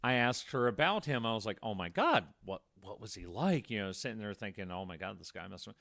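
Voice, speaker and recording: A man's voice: on the low side, lively intonation, fairly quick, vocal fry, a bit bright, no room sound, clean studio sound. A man co-hosting a podcast, telling true-crime stories casually in a wordy way full of asides. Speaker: podcast co-host.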